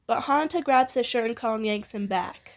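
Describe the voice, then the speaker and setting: Giggly young woman with a high voice. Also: a little fast. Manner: giggly, easily breaks off from reading to banter. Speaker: amateur fan fiction reader and co-host. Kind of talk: casual read-aloud of fan fiction with banter.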